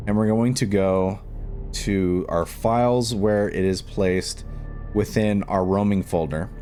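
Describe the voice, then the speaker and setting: A man's voice: lowish voice, darkish tone. A man hosting a casual step-by-step tutorial, thinking aloud informally and repeating instructions as he works. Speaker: tutorial host. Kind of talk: casual step-by-step screen tutorial, talking while working. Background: background music.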